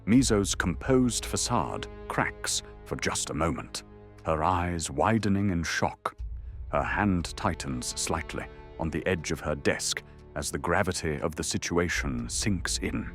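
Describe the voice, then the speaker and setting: A man's voice: sits low, animated, unhurried, soft background music. A man narrating an anime audio drama in a dramatic, scripted storytelling style.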